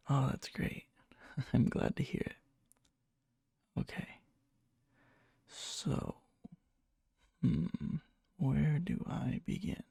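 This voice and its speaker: A man playing a needy, lovesick young best friend in an ASMR roleplay, speaking softly and hesitantly with a breathy, often whispered murmur and nervous stammers. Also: medium pitch, no room sound, clean studio sound, heavily acted. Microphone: close microphone, dry.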